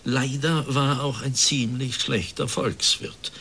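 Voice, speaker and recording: Resigned middle-aged company director, radio drama, bright forceful voice, emphatic, faint rumble behind. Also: male voice, fairly even intonation, measured pace. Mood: earnest. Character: bitter, resigned plump factory director of fifty-one.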